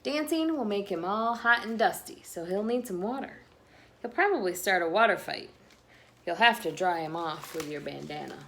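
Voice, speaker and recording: A woman reading a children's picture book aloud expressively, pausing between phrases with dramatic emphasis. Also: mid-range voice, animated, a bit bright, a little hiss or hum. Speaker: children's read-aloud host.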